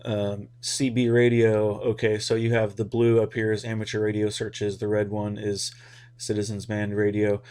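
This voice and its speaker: A man's voice: on the low side, monotone, normal speed, darkish tone. An American man giving a casual, rambling explainer in an informal, hesitant way, as if thinking aloud. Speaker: hobby radio video host.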